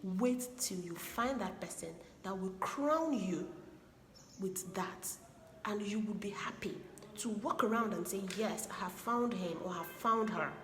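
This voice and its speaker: A woman's voice: medium pitch, unhurried, fairly bright tone. A woman from Igbo land gives earnest, preachy advice on marriage and repeats her points for stress. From Igbo land, Nigeria. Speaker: relationship advice speaker. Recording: echoey room with long reverb and faint background hiss.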